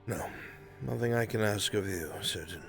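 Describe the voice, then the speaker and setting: Casting: weary lord commander for live fantasy roleplay, played by a man, low pitch, formal and measured, faint music behind. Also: creaky, a bit bright. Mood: calm, courteous. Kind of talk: live improvised roleplay acting.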